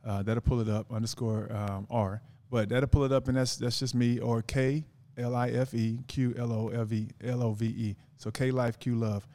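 A man's voice: mid-range voice, little pitch movement, moderate pace, hardly any pauses, dark tone, no echo. A man guest on a casual podcast, talking earnestly in long rambling sentences with many restarts.

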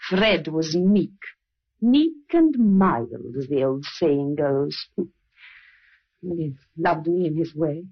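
Young English wife, sharp-tongued and dismissive, old radio drama, dull archival sound. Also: female voice, low-pitched, expressive, slow pace, husky, heavily acted. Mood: cool, scornful.

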